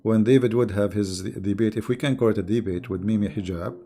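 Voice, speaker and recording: A man, a native Arabic speaker, talking casually to his viewers as an online religious debater, with an animated, mocking and scornful manner. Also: on the low side, fairly quick.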